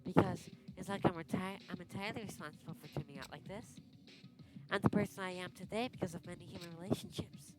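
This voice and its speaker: A devoted young woman, unhinged and dreamy, giggles between lines, as a woman acts her out. Her voice sits fairly low. Loud dramatic music plays under her. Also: quick pace, close to an everyday voice. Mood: manic. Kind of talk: dramatic game dialogue. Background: loud game music.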